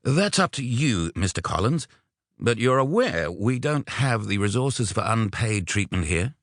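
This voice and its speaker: A man's voice: on the low side, big pitch swings, a little slow, no room sound, clean studio sound, pitched above the performer's own voice. A man voicing a disdainful, officious vet in an audiobook, clipped and matter-of-fact. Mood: matter-of-fact, firm. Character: disdainful, officious male veterinarian, dry and unbending. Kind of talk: audiobook character voice.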